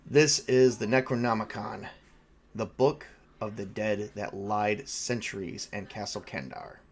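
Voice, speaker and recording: A man's voice: lowish voice, fairly even intonation, measured pace, slight background noise, pitched below the performer's own voice. A man voicing a solemn male scholar on a haunted tape recording, in a tabletop horror game.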